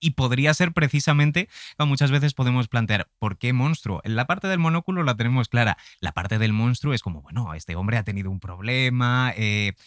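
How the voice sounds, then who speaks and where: A man hosting a casual interview, thinking aloud in a halting, digressive way. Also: mid-range voice, lively intonation, a bit bright, dry recording, clean studio sound. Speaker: podcast interviewer.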